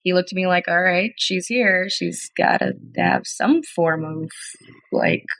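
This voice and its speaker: A woman's voice: on the low side, lively intonation, unhurried, vocal fry, a bit bright, no echo. A woman in her thirties, a podcast guest, telling stories in a casual, chatty way. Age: 30s. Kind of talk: casual interview conversation.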